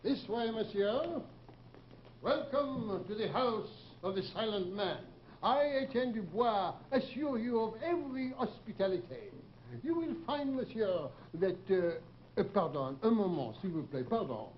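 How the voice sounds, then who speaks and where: Radio drama innkeeper: French-accented, effusively hospitable fatherly man, lively swooping delivery, muffled noisy vintage recording. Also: sits high, unhurried. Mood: effusive, flustered. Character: courteous, flustered French innkeeper and doting father. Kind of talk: 1940s radio drama acting.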